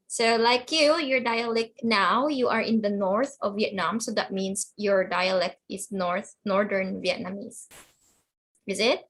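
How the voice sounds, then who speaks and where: A woman's voice: mid-range voice, a little slow, fairly bright tone. A woman teaching an English class online, explaining in simple phrases, repeating herself and checking that students follow. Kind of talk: online lesson, explaining words and questioning students.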